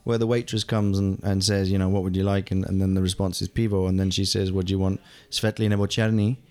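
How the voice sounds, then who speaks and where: Chatty, fairly low-voiced man. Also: little pitch movement, quick pace, darkish tone, clean tone. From England. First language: German. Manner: chatty, hesitant, many fillers and restarts. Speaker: guest, actor. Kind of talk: casual conversation.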